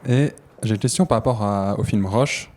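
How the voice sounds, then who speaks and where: A man's voice: on the low side, fairly quick, darkish tone. A man in the audience puts a spontaneous question to the guest. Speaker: audience member asking a question.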